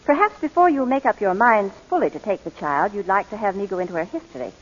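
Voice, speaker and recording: A woman's voice: mid-range voice, animated, fast, lightly acted. A woman playing a kindly, businesslike adoption official, formal and reassuring, in 1940s radio drama style. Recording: dull, reverberant old radio broadcast.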